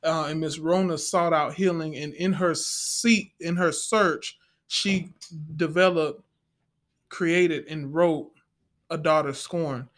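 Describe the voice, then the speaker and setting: A man speaking in a heartfelt, conversational way as a panel guest, earnest and reflective, often pausing to restate his phrases. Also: fairly high pitch, steady tone, a bit bright. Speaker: stage play actor, panel guest.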